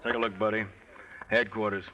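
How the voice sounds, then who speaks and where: A man's voice: on the low side, little pitch movement, brisk, pushed and bright, dull audio, a little hiss or hum. A man playing a tough, sardonic American police detective in a 1940s radio crime drama, questioning curtly. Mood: hard, sardonic. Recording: vintage 1940s radio recording.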